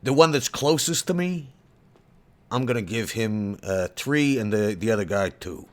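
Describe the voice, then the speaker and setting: A man's voice: lowish voice, expressive, moderate pace. A man playing in a tabletop game, laid-back and joking, checking the rules as he goes. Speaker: player. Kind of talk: tabletop game play, casual.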